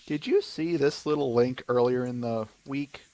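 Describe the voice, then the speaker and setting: A man's voice: medium pitch, moderate pace, a little mellow. A man hosting a casual podcast, announcing the week's schedule in an easygoing way with frequent fillers. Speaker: podcast host.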